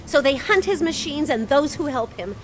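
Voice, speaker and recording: High-voiced, dazed castaway. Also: female voice, lively intonation, background noise. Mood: dazed, fearful. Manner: hesitant, simple, halting phrases. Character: dazed, timid, devoted castaway crewwoman. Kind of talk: video game voice acting.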